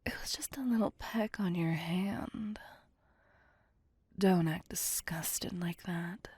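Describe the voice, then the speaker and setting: Woman playing a slow, breathy seductive vampire. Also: fairly low pitch, darkish tone, no echo. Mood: flirtatious. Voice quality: breathy, whispery. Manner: slow, teasing, flirtatious. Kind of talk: ASMR roleplay.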